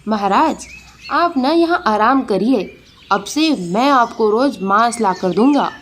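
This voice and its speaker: Woman playing a sly, high-voiced cartoon fox. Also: expressive, a little slow, a little hiss or hum. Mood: coaxing. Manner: pleading, persuasive, wily. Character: sly, quick-witted, flattering male fox serving a lion. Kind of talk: animated children's moral story.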